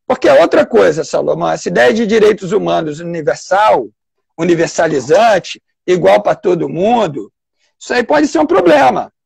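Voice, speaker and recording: A man with a Brazilian Portuguese accent giving a live online lecture as a public defender. He explains step by step, pausing to think and restarting phrases. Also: high-pitched, sing-song, gravelly, darkish tone, husky, no echo.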